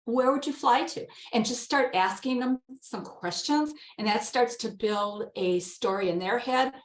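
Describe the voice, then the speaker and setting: A woman's voice: on the high side, unhurried. A woman participant casually offering advice, posing example questions as she goes, in a workshop. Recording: roomy, echoing online call audio.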